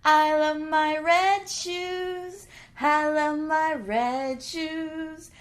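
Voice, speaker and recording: A woman's voice: sits high, fairly even intonation, extremely slow, pitched above the performer's own voice. A woman voicing a cheerful, easygoing male cat who sings simple repetitive lines in a children's storybook. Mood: happy singing. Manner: singing, repetitive and unbothered.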